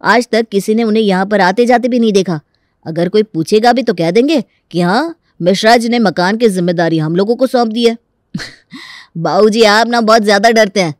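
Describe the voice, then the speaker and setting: A woman voicing a bold, scheming young family man in a Hindi animated story, exasperated and persuasive in a colloquial way. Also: medium pitch, moderate pace, no echo, clean studio sound, lightly acted.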